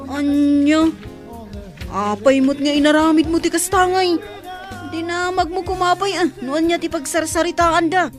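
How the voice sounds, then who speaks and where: A man's voice: very high-pitched, measured pace, soft background music. Actor as a level-headed, scolding male friend. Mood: puzzled, scolding. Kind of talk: Ilocano radio drama acting.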